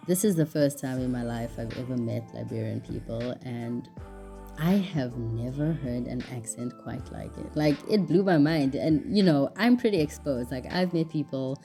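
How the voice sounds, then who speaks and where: Young woman vlogger, very deep mellow voice, chatty, filler-heavy, laughs easily, soft music under. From South Africa. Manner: chatty, lots of "like", laughs often. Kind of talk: casual travel vlog narration.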